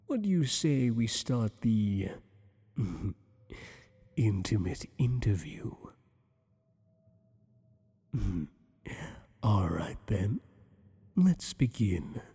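A man's voice: lowish voice, sing-song, warm tone, husky, in a large hall, exaggerated voice. A man voicing a teasing, possessive monster boss. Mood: flirtatious. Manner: teasing, flirtatious, slow and intimate. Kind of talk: ASMR roleplay.

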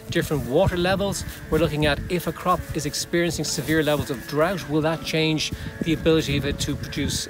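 Guy in his 40s explaining farm research, brisk and listy, slightly high voice, music playing under it, outdoors. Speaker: professor. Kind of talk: outdoor talk to prospective students. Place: open pasture outdoors with cows around. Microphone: phone or camera held close at selfie range.

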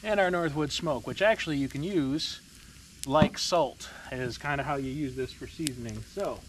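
A man's voice: on the high side, normal speed, background noise. A man in his thirties casually vlogging outdoors at a snowy campsite, chuckling and talking as he works over a fire pit, recorded by a camera a few feet away. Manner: laid-back, chuckling, talks while working. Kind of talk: casual camp cooking vlog. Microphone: camera on a tripod a few feet away. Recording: outdoor ambience and sizzling fire.

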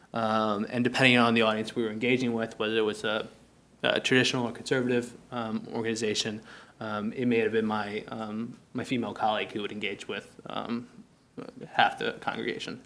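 A man in his thirties speaking on a conference panel into a table microphone. He talks in a loose, conversational way, filling pauses with ums and telling stories from his work. His voice sits at an ordinary middle pitch. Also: a bit bright. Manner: casual, many ums, anecdotal. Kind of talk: policy panel discussion. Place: conference room on a stage panel.

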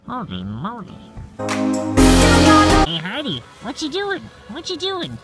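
A woman's voice: fairly high pitch, huge pitch swings, slow pace, exaggerated voice. A woman voicing a cheerful, friendly stuffed toy hamster in a family ski video, speaking chirpily with music behind. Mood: playful.

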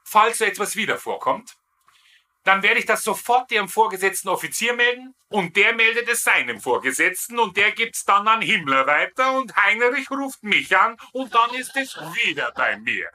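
A blustering Bavarian sergeant in a sitcom dub. The voice is piercingly bright and rather high, swinging widely in pitch. He is indignant, tipsy and full of mock military authority. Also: sing-song, normal speed, heavily acted. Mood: blustering outrage. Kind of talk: dubbed sitcom comedy acting.

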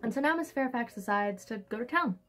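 A woman's voice: on the high side, animated, a little fast, fairly bright tone. A young woman giving a casual, sarcastic book recap with theatrical read-aloud bits, swearing freely. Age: young adult. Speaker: book reviewer, host. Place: indoors, small room in front of a hung sheet. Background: classical music.

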